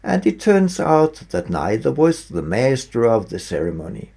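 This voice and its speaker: A man narrating his own written book as an author, with deliberate, emphatic phrasing broken into short pieces. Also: medium pitch, very animated, vocal fry, mellow, husky. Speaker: author reading own audiobook. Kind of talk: scripted audiobook narration.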